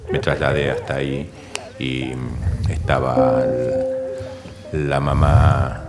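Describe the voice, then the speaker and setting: An older Argentine man recounting memories in an interview, speaking in halting, hesitant phrases. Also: very deep voice, level tone, over loud music. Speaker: interviewee giving testimony. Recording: long reverb, clear background noise.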